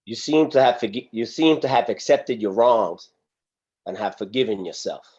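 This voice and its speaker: A man's voice: medium pitch, expressive, normal speed, darkish tone, husky. A man reading the part of a stern, philosophical prison guard in a script table read, solemn and preachy, over a video call. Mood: solemn, admiring. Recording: dull, muffled video-call audio.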